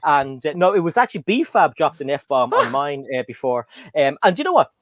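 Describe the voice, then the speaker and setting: Chatty Irish man, fairly high voice. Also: big pitch swings, normal speed, no echo. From Ireland. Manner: chatty, rambling with many fillers and restarts. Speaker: guest host. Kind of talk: casual livestream chat.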